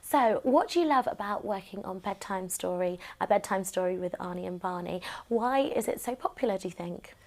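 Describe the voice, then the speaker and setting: A woman's voice: medium pitch, brisk, hardly any pauses, a little mellow. A British woman presenting and interviewing, moving between scripted links and agreeable, affirming chat with her guest. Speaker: interviewer and host. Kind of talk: scripted introduction and chatty interview.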